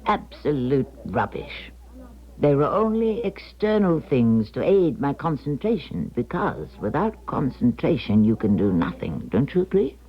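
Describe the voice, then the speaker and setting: Elderly clairvoyant, slow and deliberate, swooping intonation, earnest, mysterious, hushed endings, radio drama, muffled broadcast sound. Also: female voice, very deep voice, big pitch swings, full character voice. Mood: confiding, earnest. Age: older. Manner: theatrical, deliberate, hushed breathy phrase endings. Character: elderly Russian émigré woman, former clairvoyant, earnest and mysterious. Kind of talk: 1970s radio drama acting, confiding monologue.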